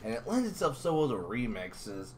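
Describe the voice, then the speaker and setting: A man chatting casually as an interviewer, with an excitable, exclamatory way of talking. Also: medium pitch, normal speed, slight background noise. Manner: excitable, exclamatory, swearing emphatically. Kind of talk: casual fan interview chat.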